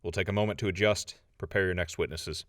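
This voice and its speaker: An actor playing a stern, authoritative judge, formal and commanding, in an audio drama courtroom. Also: male voice, low-pitched, fairly even intonation, fast talker, a loud crowd, close to an everyday voice. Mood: stern, even.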